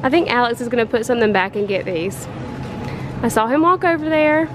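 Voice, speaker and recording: A woman, chatting excitedly to viewers in a casual haul vlog. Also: a little high, a little fast, music in the background. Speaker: vlogger. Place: home kitchen and store aisles.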